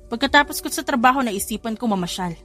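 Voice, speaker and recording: A woman's voice: on the high side, animated, fast, a bit bright, faint music behind. A woman voicing an earnest young man in a romance audio story, speaking in an insistent, emotional, pleading manner. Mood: calm, persuasive.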